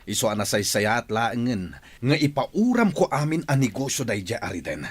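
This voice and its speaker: An actor playing a scheming, envious, rich village boss in an Ilocano radio drama, irritable and domineering, with an Ilocano accent. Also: male voice, mid-range voice, big pitch swings, normal speed, breathy edge, no room sound. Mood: bitter anger.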